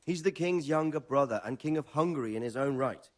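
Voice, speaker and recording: A knowledgeable older nobleman in a medieval video game, played by a man. He explains in a measured manner at a middling pitch, with a melody that rises and falls freely. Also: lively intonation, close to an everyday voice. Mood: measured, explanatory. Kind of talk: video game dramatic dialogue.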